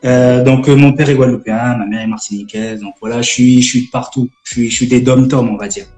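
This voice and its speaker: A man in his twenties from French Guiana, a gospel rap artist chatting on a live stream, halting with many fillers and restarts. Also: mid-range voice, steady tone, warm tone, reverberant, faint music behind. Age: 20s. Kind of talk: casual live-stream interview.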